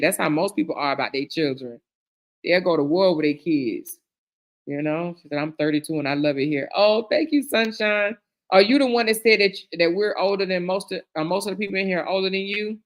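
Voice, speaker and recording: Chatty woman reading viewer comments. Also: low-pitched. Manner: chatty, calls viewers girl, honey, child; reads comments aloud with lively reactions. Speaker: live stream host reading viewer comments. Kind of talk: casual chat.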